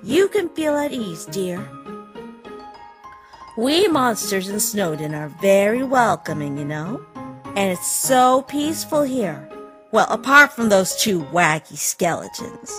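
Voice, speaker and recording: A woman voicing a friendly, motherly rabbit shopkeeper, chatty and welcoming, in an animated comic dub. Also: mid-range voice, takes it slowly, music in the background. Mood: chatty, reassuring.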